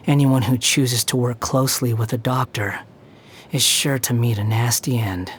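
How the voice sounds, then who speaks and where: A scornful young immortal wanderer for a video game. The voice is husky and sits at an average male pitch, with a haughty, sardonic edge to every line. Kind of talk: scripted video game character voice lines.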